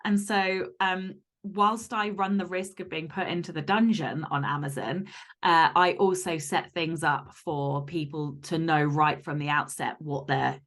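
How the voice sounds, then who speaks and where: A woman with a moderately low voice talks as a guest author in a relaxed interview format. She is candid and informal, spelling out her thinking in detail as she goes. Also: measured pace. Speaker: podcast guest, fiction author. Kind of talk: casual interview chat.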